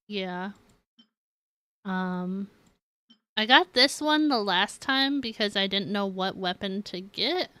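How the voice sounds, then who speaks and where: A woman's voice: mid-range voice, pushed and bright, smooth, dry recording. A woman chatting casually on a game live stream, rambling and giggly as she talks to viewers.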